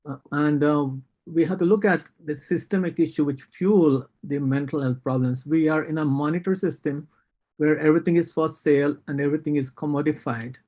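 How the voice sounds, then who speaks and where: A man's voice: on the high side, steady tone, a little fast, warm tone. A man with an Indian accent, speaking as a doctor on a panel discussion, earnest and critical, with frequent hesitations. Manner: earnest, critical, with many fillers. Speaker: psychiatrist panelist.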